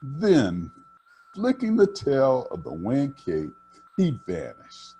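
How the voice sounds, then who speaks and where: Animated, mellow older male storyteller. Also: medium pitch, slow pace, husky, soft background music. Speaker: storybook reader for children. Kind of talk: expressive read-aloud storytelling.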